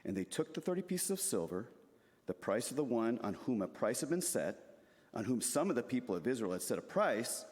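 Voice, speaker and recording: A man's voice: mid-range voice, very animated, a little fast, a little mellow. A man narrating a dramatic scripture reading in a church. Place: large church sanctuary. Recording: reverberant hall.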